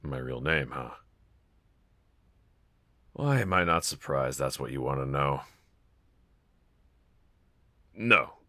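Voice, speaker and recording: A man's voice: rumbling low, expressive, measured pace, bright, forceful tone, heavily acted. A man playing a brooding young villain roommate in an intimate audio roleplay, confiding hesitantly and wryly to one listener. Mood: wry, teasing. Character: brooding young male villain roommate, self-loathing but softly grateful.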